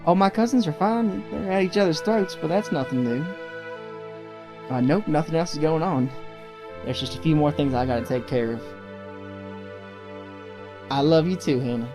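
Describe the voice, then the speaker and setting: A man acting a protective, calming young man in an audio drama. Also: a little high, normal speed, pauses often, over music, close to an everyday voice. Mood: evasive, tender.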